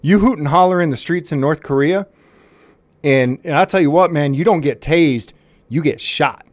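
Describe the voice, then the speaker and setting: A man's voice: on the high side, no room sound. Mock-serious man with a dry close sound. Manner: earnest faux-sincere tone with halting restarts. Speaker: prank caller to a police department. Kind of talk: mock-serious phone prank.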